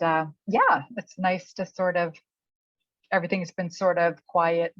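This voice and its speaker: A middle-aged woman chatting casually as an interviewed author, thinking aloud in a halting way. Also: lowish voice, no room sound. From Canada. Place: remote online call. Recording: dull, muffled lo-fi audio.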